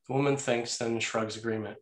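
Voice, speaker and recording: A man's voice: medium pitch, fairly even intonation, fairly quick. A man narrating action and scene headings from a screenplay in a scripted read. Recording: roomy, echoey sound.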